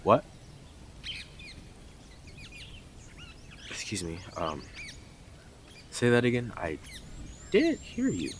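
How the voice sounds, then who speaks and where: A man's voice: on the low side, huge pitch swings, unhurried, slight background noise. A man playing a blunt, sarcastic, teasing stalker who is devoted to the listener in an intimate roleplay audio drama. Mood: teasing, gloating.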